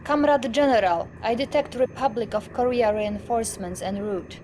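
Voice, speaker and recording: An actress playing a dutiful young female officer who delivers formal mission reports in a crisp, businesslike manner in a game. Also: fairly high pitch, normal speed, darkish tone, slight background noise, close to an everyday voice. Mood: briefing. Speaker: military officer giving reports. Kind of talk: video game mission briefing.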